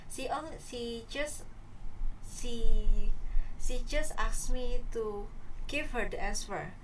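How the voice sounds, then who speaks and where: A young woman from West Kalimantan with an Indonesian accent, hosting a casual student podcast in English. She asks curious questions and pauses often mid-sentence. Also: fairly high pitch, fairly bright tone. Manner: curious, halting. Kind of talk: casual chat. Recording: distant mic with faint noise.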